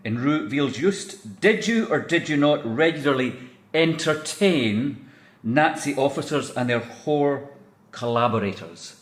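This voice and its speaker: A man's voice: mid-range voice, expressive, measured pace, roomy sound, close to an everyday voice. An actor playing a stern male government interrogator, formal and accusing, in an audio drama. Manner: formal, prosecutorial.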